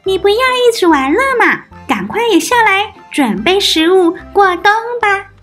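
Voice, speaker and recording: A woman's voice: very high-pitched, very animated, measured pace, fairly bright tone, soft background music, voice pushed up, heavily acted. A woman voicing a sensible, hardworking ant in a children's storybook, earnest and admonishing. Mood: earnest, urging. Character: hardworking, sensible ants, scolding the idle.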